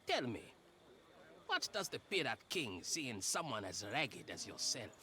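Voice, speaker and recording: Bright, forceful, mocking pirate shipwright. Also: male voice, mid-range voice, big pitch swings, unhurried, a little hiss or hum. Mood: scornful, taunting. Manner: theatrical, taunting. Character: gruff, mocking male pirate shipwright, boastful and scornful. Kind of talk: video game voice acting.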